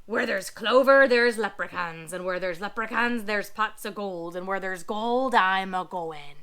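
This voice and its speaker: A woman voicing a gruff, stubborn male pirate captain in a storybook read-aloud, growling pirate talk with dropped endings. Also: medium pitch, unhurried, pushed and bright, voice pushed down.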